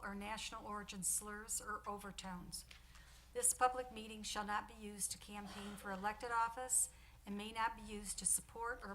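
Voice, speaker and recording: A woman reads agenda items and public comment rules in a steady, procedural way. Her voice is bright and carries well. Her pitch sits in the middle range. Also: slight background noise, rough recording. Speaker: city clerk. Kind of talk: reading meeting rules and agenda. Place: council chamber.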